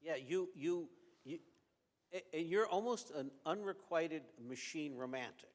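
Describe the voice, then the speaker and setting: A man's voice: a little high, unhurried, long reverb tail. A man moderating an onstage fireside conversation, chatty and often restarting his sentences. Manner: conversational, repeats words when starting sentences. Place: event venue with audience.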